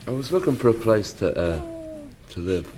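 Dublin Irish man, very low voice, dark relaxed tone, earnest, halting personal talk. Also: a little hiss or hum. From Dublin, Ireland. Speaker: interview guest.

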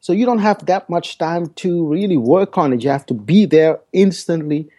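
Male podcast guest, Dutch first language, mellow and halting. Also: fairly high pitch. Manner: hesitant, repeats words while searching for phrasing. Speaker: guest speaker. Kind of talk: podcast interview.

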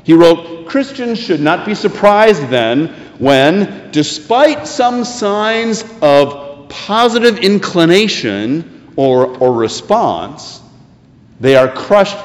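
An American man preaching a sermon, telling a scripture story with deliberate, emphatic phrasing and pauses for effect. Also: a little high, lively intonation. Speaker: preacher, pastor. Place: church sanctuary. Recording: echoey, reverberant hall.

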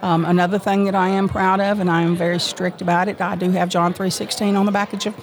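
A woman's voice: mid-range voice, level tone, fast talker, music in the background. An older woman, a small business owner, talking earnestly and proudly as an interview guest. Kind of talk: casual interview.

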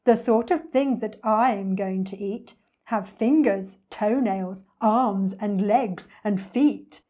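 A woman voicing an enormous, boastful, greedy male crocodile in a children's storybook, gloating and savouring the words with slow menace. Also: mid-range voice, mellow. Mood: sly, gloating. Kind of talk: dramatic children's storybook reading.

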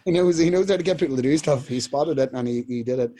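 A young man, a band's singer, telling rambling stories in a casual interview, clearing his throat often. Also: mid-range voice, fast, warm tone. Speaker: band singer, interview guest.

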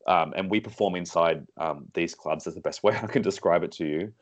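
An Australian man with a hoarse voice from being sick, explaining things conversationally as an interview guest, often repeating words as he goes. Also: sits low, a little fast.

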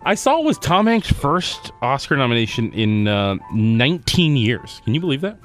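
A man's voice: medium pitch, very animated, moderate pace, soft background music. A man co-hosting a radio show, talking in casual back-and-forth banter. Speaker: radio co-host.

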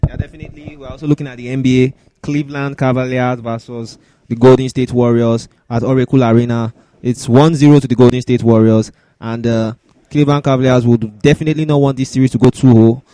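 A man hosting a sports talk show, introducing topics and commenting with halting, repeated phrases. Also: medium pitch, steady tone, mellow, dry recording, poor audio. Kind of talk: sports discussion, introducing segments.

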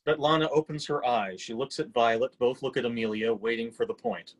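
Man reading stage directions, mid-pitched voice. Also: fairly even intonation, a little fast, rough recording. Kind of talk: scripted narration for a play reading.

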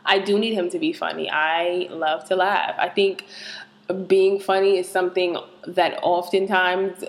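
A woman's voice: lowish voice, flat delivery, a little slow. Frank, candid woman chatting casually. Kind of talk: casual banter.

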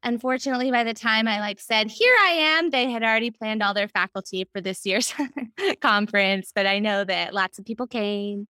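A woman with an American accent speaking as a podcast guest in an animated, conversational interview style. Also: medium pitch, a little fast, clear, resonant tone, no room sound, studio quality. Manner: animated, quotes self and others in anecdotes. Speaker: podcast guest, children's book editor.

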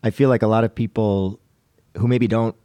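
A man's voice: lowish voice, fairly even intonation, normal speed, warm tone, no echo. A man hosting a casual panel discussion, talking in a conversational, explanatory way. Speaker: podcast host.

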